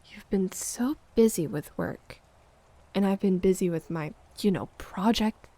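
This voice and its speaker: A woman playing a hurt, needy, accusing girlfriend in an intimate audio roleplay, moving between sharp reproach and hushed murmurs. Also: medium pitch, unhurried. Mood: reproachful, pleading. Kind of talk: intimate audio roleplay monologue, dramatic acting.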